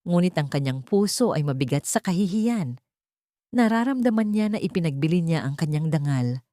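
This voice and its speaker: Low-pitched woman narrating a dramatic story. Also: expressive, moderate pace, mellow, dry recording, clean studio sound.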